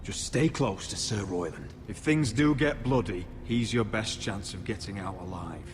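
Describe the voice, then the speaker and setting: An actor playing a guarded, resolute young nobleman in a fantasy video game, speaking in a firm, measured way. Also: on the low side, expressive, close to an everyday voice. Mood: low, concerned. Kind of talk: dramatic video game acting. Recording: reverberant hall under clear music. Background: background music.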